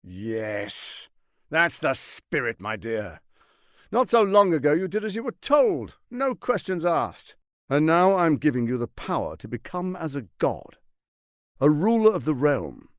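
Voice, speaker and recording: An actor playing a scheming, menacing fantasy tyrant in a video game, silky, grandiose and condescending, speaking with theatrical deliberation. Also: male voice, a little high, very animated, unhurried, no room sound, studio quality. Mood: smug, coercive, then grand, urgent. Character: scheming, smooth, menacing male tyrant lord and political mastermind.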